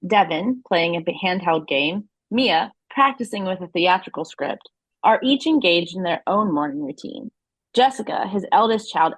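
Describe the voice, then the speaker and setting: A woman's voice: lowish voice, husky, dry recording, poor audio. A woman reading scripted narration and stage directions as the narrator of an audio play.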